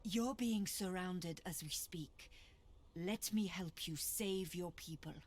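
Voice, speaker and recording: A woman's voice: on the low side, slow. A woman playing a noble, resolute hero commander in a video game, firm, earnest and formal.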